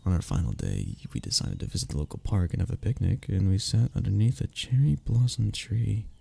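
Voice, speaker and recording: A man playing a young, tender, self-deprecating lover in an intimate romantic ASMR roleplay, teasing softly in a low, husky, gravelly voice. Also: expressive, a little fast, exaggerated voice. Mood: tender, wistful. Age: young adult.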